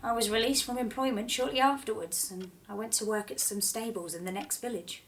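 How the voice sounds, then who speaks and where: A woman's voice: a little high, fairly even intonation, a little fast, fairly bright tone, a little hiss or hum. Woman acting a hesitant, frightened young woman. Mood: subdued. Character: frightened young woman, former stable worker, confessing abuse. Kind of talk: audio drama dialogue.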